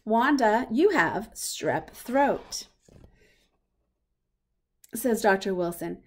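A woman reading a picture book aloud for young children in a simple, expressive way. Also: medium pitch, very slow, slightly rough. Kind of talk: children's storybook read-aloud.

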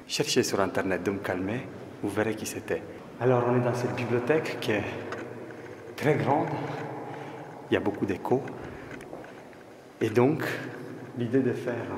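Low-voiced man in a very echoey hall. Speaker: art vlogger and artist. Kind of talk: casual vlog to viewers, musing on art. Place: large empty stone library hall, indoors. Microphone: handheld camera at arm's length. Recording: very echoey large hall, background noise.

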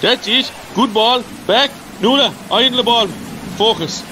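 A man playing a brisk, bossy Irish hurling coach in an amateur radio drama, giving clipped instructions. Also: very high-pitched. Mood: brisk, instructing. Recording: very noisy outdoor-like ambience.

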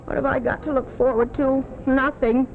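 Bitter, melodramatic wife, tinny old soundtrack. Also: female voice, sits high, level tone, creaky, slight background noise. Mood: bitter, yearning. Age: middle-aged. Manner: theatrical, drawn-out and accusing. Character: bitter middle-aged wife, self-pitying bedridden dreamer, melodramatic. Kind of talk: 1950s live television melodrama acting. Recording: muffled phone-like old TV soundtrack, music.

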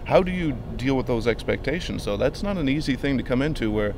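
Male sports broadcaster in his 30s, medium pitch, interviewing, slight background ambience. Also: expressive, a little fast, darkish tone, slight background noise. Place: large empty indoor arena.

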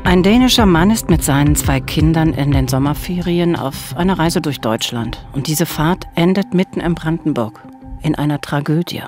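A woman's voice: deep voice, animated, moderate pace, music in the background. A woman co-hosting a true-crime podcast, telling the story at a close studio microphone. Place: small radio studio.

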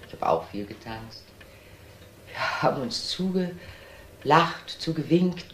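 Older matriarch: deep for a woman, unhurried, hesitant, radio crime drama. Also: lively intonation, slight background noise. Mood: reflective. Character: composed older company matriarch, retiring boss.

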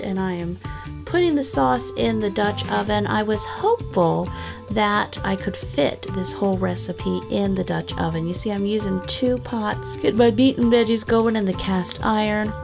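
Middle-aged woman, family vlog voice-over, upbeat and chatty, slight vocal fry, guitar music bed. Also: medium pitch. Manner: chatty and upbeat, with frequent laughs. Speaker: family vlogger. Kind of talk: cozy voice-over narration of a cooking and cleaning vlog. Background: guitar background music.

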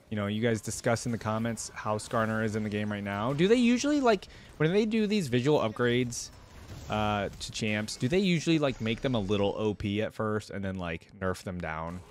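A young man giving casual, informal gameplay reaction commentary, musing aloud into a close microphone. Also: mid-range voice. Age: young adult. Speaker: reaction video host. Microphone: close desktop microphone with headset. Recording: clear background noise.

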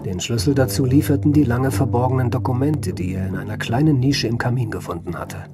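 An actor playing an educated doctor who recounts a patient's case in a radio drama, formal, deliberate and precise. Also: male voice, mid-range voice, close to an everyday voice. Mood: grave, intriguing. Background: music.

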